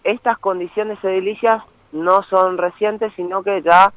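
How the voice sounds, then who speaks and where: A man's voice: high-pitched, fairly even intonation, unhurried, no echo. A young man from Misiones with an Argentine Spanish accent, calling in as a student activist and explaining his cause earnestly, often checking in with "¿sí?". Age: young adult. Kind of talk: phone interview. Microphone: phone. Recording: muffled phone line.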